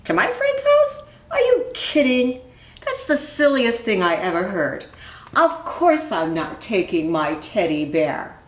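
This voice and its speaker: Woman reading as an animated, anxious young boy. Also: a little high, unhurried, a little hiss or hum. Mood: defiant. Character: young boy narrator, anxious but defensive. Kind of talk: storybook character reading. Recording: roomy, echoing room sound.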